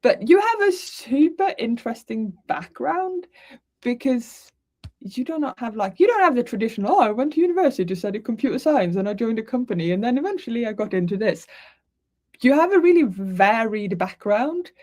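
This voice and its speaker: A woman's voice: fairly high pitch, expressive, fairly quick, dark tone. A woman from Sweden hosting a casual live interview in a chatty, conversational way. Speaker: livestream host.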